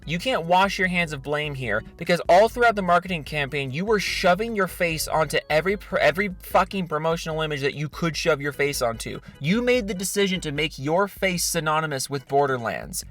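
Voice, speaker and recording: A man's voice: a little high, fairly bright tone. A man who makes videos, talking in a blunt, sweary, sarcastic way. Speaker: video creator. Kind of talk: opinionated rant and reading out supporter names.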